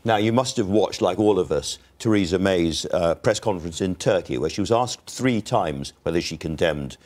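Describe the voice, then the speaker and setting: Low-voiced news interviewer guy, straight to the point, keeps pushing. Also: lively intonation, normal speed, darkish tone. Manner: direct, pressing questions. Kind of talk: broadcast interview questions.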